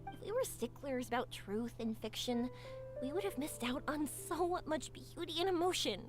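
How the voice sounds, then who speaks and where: Upbeat young fireworks maker, lively swooping voice, affectionate, game dialogue, music behind. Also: female voice, high-pitched, normal speed. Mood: thoughtful. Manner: bright, upbeat, affectionate, giggly. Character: cheerful young woman fireworks maker, warm and spirited. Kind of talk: game voice acting.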